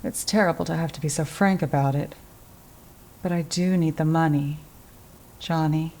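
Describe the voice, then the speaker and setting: A woman playing a genteel, anguished widow with a calculating streak in an old-time radio detective drama, speaking in a halting, dramatic way. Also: deep voice, fairly even intonation, a little mellow, a little hiss or hum, rough recording. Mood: coy, guarded.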